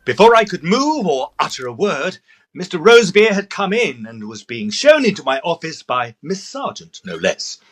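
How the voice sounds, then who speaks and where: A man's voice: high voice, sing-song, moderate pace, fairly bright tone, exaggerated voice. A man playing a fussy, proper Edwardian ghost-hunter, telling his story formally and precisely in an audio drama. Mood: narrating. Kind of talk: first-person period storytelling and audio drama.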